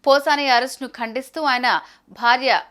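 A woman's voice: sits high, steady tone, normal speed, fairly bright tone. A woman news presenter reading a scripted report in a formal news narration style.

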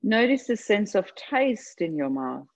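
A woman leading a guided meditation, speaking gently and unhurriedly in short phrases with long pauses between them. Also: medium pitch, sing-song, highs cut off. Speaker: yoga nidra meditation guide. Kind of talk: guided meditation, slow soothing instruction.

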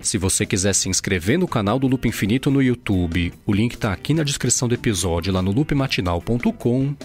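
A Brazilian man hosting a daily tech news podcast, chatty and informal, peppering his reading with little tag words. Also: fairly low pitch. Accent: Brazilian Portuguese. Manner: casual, fillers like "né" and "aí".

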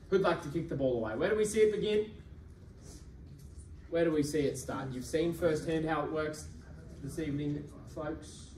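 A young man working as an auctioneer, calling bids at a live property auction in a brisk, persuasive, repetitive way. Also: a little high, slight background noise. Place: function room with audience. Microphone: far camera. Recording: echoey room from far off.

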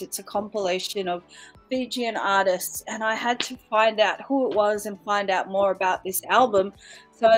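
A woman's voice: mid-range voice, poor audio. A woman in her forties hosting a casual online interview show from a room at home over a video call. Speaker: host and interviewer.

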